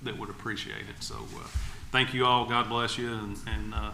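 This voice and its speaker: A man's voice: lowish voice, fairly even intonation, normal speed, vocal fry, sharp tone. A man giving enthusiastic remarks as an agency official at a public meeting. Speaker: agency commissioner. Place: indoor public meeting room. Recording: echoey meeting room, faint background noise.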